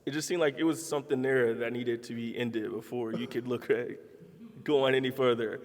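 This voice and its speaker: A man's voice: medium pitch, fairly even intonation, normal speed. A man in his late twenties, a dating show contestant, blunt but easygoing with many fillers. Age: 20s. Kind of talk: casual chat. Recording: echoey hall.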